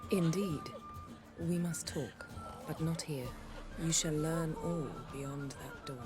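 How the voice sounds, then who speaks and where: A woman playing a composed, commanding lady in a fantasy game, formal and measured. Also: low voice, fairly even intonation, loud music behind the voice. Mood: polite, firm. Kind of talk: cinematic fantasy game acting.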